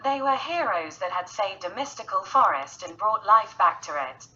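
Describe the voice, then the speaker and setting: A woman's voice: mid-range voice, lively intonation, normal speed, bright, forceful tone, muffled recording. A woman narrating a scripted bedtime story for children in a storyteller's style.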